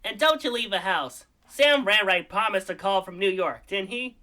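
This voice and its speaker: A woman's voice: mid-range voice, normal speed, sharp tone, natural voice. A woman playing a meddling, bossy small-town mother in a radio play. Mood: suspicious, scolding.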